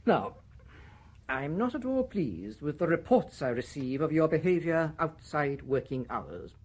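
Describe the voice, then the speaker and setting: An actor playing a stern, pompous middle-aged male director in a radio drama, husky and formal. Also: a little high, sing-song, a little slow, full character voice. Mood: reproving. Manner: reproving, then drifting into a hushed memory. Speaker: Director, a superior official. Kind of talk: 1990s radio drama acting.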